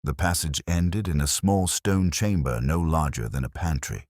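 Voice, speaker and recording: A man narrating a scripted detective story in a measured, atmospheric storytelling manner. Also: rumbling low, darkish tone, no echo, clean studio sound.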